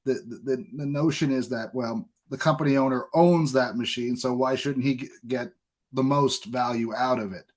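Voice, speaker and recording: A man's voice: mid-range voice, moderate pace. An American man speaks as a podcast host in casual, conversational interview talk.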